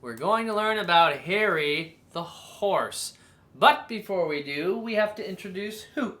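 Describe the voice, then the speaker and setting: An expressive storybook reader hosting a read-aloud for children. The delivery is unhurried and theatrical, with a medium-pitched voice that swings widely and leans on key words. The room adds a clear echo. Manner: theatrical, slow and drawn-out with emphatic stresses.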